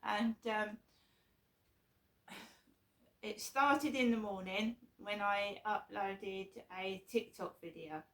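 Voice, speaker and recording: A middle-aged woman with a sore throat, talking casually to camera in a rambling, halting way full of ums and restarts. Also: medium pitch. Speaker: vlogger.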